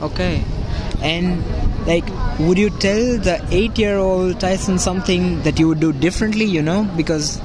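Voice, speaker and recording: Podcast host, male, from India, friendly questioning tone, high-pitched, outdoor street noise behind. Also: normal speed, hardly any pauses. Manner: friendly, questioning, many fillers. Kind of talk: casual street interview. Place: outdoors near a busy square.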